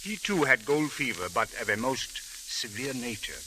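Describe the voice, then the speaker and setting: An actor playing a courteous, dignified Cairo police captain with formal, measured wording in an old radio drama. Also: male voice, mid-range voice, very animated, natural voice. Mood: calm, explaining. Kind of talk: 1940s-50s radio drama acting. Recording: hissy, crackly old radio recording.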